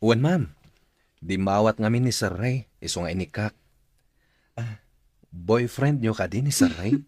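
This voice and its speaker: A young Ilocano fruit seller in a radio drama, low and breathy-rough in a dry studio. He is bashful, lovestruck and respectful, speaking with some hesitation. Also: a little slow, darkish tone, no room sound. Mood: shy, hesitant.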